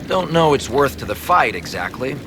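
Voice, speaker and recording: An actor playing a gruff, plainspoken dwarven craftsman in a video game, speaking in clipped phrases. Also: mid-range voice, sing-song, normal speed, background noise, close to an everyday voice. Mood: respectful. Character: gruff, practical dwarven craftsman, proud of his trade. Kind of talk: video game dialogue acting.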